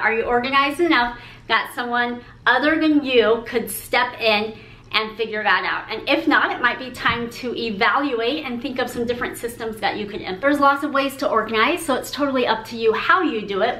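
A woman in her forties with a fairly high voice gives upbeat, encouraging advice to the camera. She talks with her hands, and the room around her rings with echo. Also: fairly quick, sharp tone. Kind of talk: speaking to camera, sharing business tips. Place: room with a pink painted brick wall.

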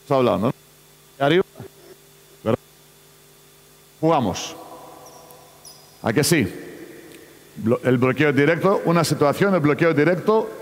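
A man who is not a native Spanish speaker runs a basketball coaching clinic, giving choppy instructions and calling out to players on court. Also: on the high side. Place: large indoor basketball arena. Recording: echoey sports hall.

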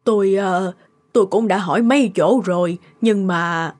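A woman playing a kindly, hesitant elderly grandmother in a cartoon, speaking haltingly and evasively. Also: medium pitch, darkish tone, natural voice. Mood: hesitant, uneasy. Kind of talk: cartoon dialogue acting.

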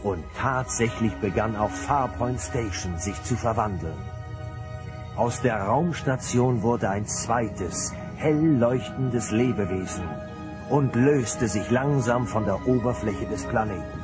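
A man narrating a radio play as a calm, solemn storyteller with a deliberate delivery. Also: lowish voice, music in the background. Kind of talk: scripted narration.

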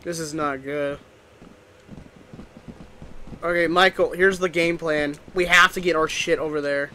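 A young man playing a co-op game with friends, reacting excitedly and planning aloud. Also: a little high, measured pace, slight background noise, rough recording. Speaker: gamer. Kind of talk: casual co-op gaming chat.

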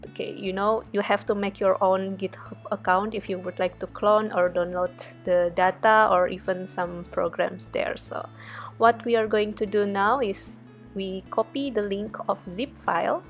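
A woman's voice: mid-range voice, fairly even intonation, normal speed, soft background music. A young woman from Indonesia, a research fellow, talking viewers through a coding tutorial over a screen recording in a chatty way with many fillers. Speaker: research fellow teaching data analysis.

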